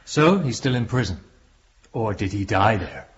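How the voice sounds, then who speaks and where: An actor playing an inquisitive, sceptical gentleman in a period radio drama, probing and deliberate. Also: fairly low pitch, sing-song. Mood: curious. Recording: dull lo-fi audio.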